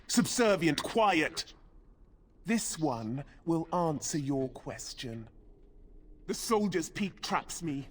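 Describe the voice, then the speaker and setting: An actress voicing a menacing demon in a woman's body for a video game, haughty and archaic in manner. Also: on the low side. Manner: archaic, haughty, speaks of itself in third person. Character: menacing demon possessing a dead female warden commander.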